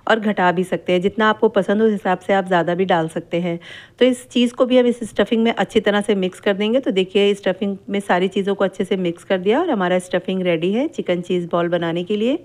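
A woman's voice: mid-range voice, darkish tone. A middle-aged woman giving a patient, step-by-step voice-over for a home cooking recipe, repeating each step as she shows it. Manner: patient, repetitive, instructive. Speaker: cooking channel host. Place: home kitchen.

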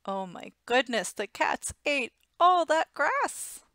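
A woman's voice: high voice, sing-song, slow, pushed and bright, dry recording, clean studio sound. A woman in her thirties reading aloud expressively for young children, with dramatic emphasis and asides to listeners, on a headset mic indoors. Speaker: storytime reader. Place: small indoor room at home. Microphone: headset boom mic.

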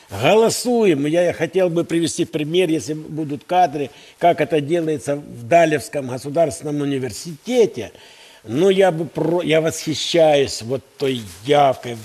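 A man in his sixties giving an earnest patriotic interview as a studio guest, halting and emphatic in a declamatory way. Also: fairly high pitch, a little mellow. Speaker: university rector, interview guest. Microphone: headset.

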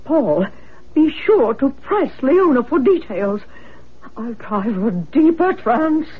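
Earnest medium on tinny radio. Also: female voice, high voice, very animated, takes it slowly, slightly rough, full character voice. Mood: earnest, urgent. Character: composed, anxious female psychic medium out of trance. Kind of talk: radio mystery drama acting. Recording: tinny, noisy old radio recording.

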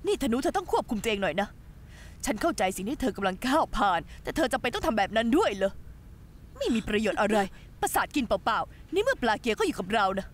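A woman's voice: on the high side, sing-song, fast talker. An actress dubbing a scheming young woman who urgently coaxes and scolds her friend in a TV drama. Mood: coaxing. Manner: urgent, coaxing. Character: scheming young woman, calm coaxing accomplice. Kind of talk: dubbed TV drama.

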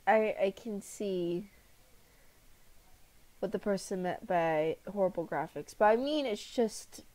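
A woman's voice: mid-range voice, slow pace, mellow, low-quality recording. A young woman casually commenting on a game at her desk, blunt and teasing, full of slang.

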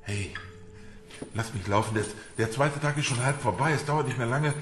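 Male actor as indignant captive banker, medium pitch, halting, rattled, echoey, music underneath, audio drama. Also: close to an everyday voice. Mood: agitated. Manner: halting, agitated. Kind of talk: crime radio drama acting. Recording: echoey room, music behind.

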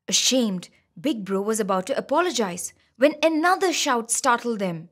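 A woman narrating a scripted children's story in an expressive, clearly enunciated storytelling manner. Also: a little high, normal speed, very noisy.

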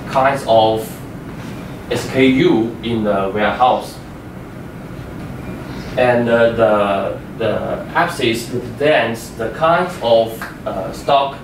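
A young man, a native Chinese speaker, giving a class project presentation, halting and repeating words as he explains slides. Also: medium pitch. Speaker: student presenter. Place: classroom with a projector screen, indoors. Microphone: far room microphone. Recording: echoey room from far off, noisy.

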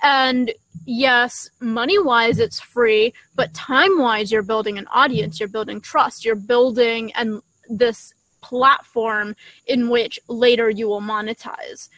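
A young woman with a fairly high voice talks as a podcast co-host. She is casual and earnest, urging listeners along. The sound is fairly dry, picked up by a shared microphone outdoors. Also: fairly even intonation, takes it slowly, fairly bright tone, no echo, poor audio. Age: young adult. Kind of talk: casual chat giving advice to creators. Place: outdoors in a leafy garden. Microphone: shared desktop condenser microphone at table distance.

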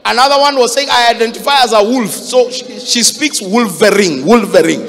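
A Kenyan man in his thirties preaches with a very high, sharp, forceful voice, driving home each point with shouts. He speaks into a handheld mic, and his voice rings with the long reverb of a big church hall. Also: moderate pace, creaky. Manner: fiery, shouting emphasis, mixes Swahili quotes. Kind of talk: sermon.

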